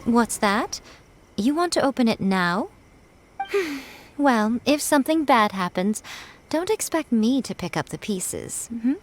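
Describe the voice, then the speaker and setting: A woman's voice: on the high side, lively intonation, slow pace, a little hiss or hum. A woman playing a teasing, elegant and playfully commanding shrine priestess in a video game. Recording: music clearly behind.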